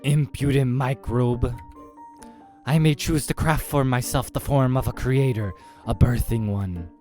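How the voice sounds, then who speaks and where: A man's voice: mid-range voice, measured pace, darkish tone, faint music behind, voice pushed up, close to an everyday voice. A man voicing a pompous, menacing female eldritch monster for a horror game, speaking in grandiose threats. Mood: scornful.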